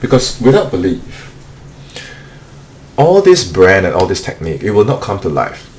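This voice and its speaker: A low-voiced man leads a motivational live-stream training for remote sales closers. He talks earnestly and repeats himself, dropping "okay" between points. A faint hum sits under the voice. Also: big pitch swings, normal speed, darkish tone. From Singapore. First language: not English. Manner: earnest, repetitive, peppers speech with "okay".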